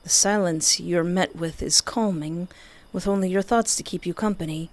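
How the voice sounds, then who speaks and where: A woman's voice: lowish voice, moderate pace. A woman doing let's-play commentary on a video game, switching between reading narration aloud and playful, exclaiming reactions. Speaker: video game streamer playing a dating simulator. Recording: music behind the voice. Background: game music.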